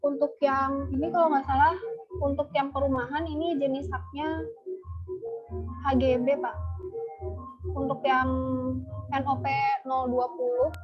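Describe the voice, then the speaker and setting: Young woman, high pitch, hesitant student presenter on dull video-call audio. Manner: hesitant, explaining step by step with fillers. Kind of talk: online class presentation.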